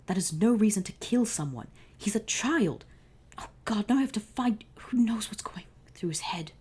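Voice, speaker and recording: A woman voicing an anguished ancient male pharaoh in a breathy, half-whispered plea, pitched well above her own low voice. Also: animated, moderate pace, a little mellow. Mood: distressed.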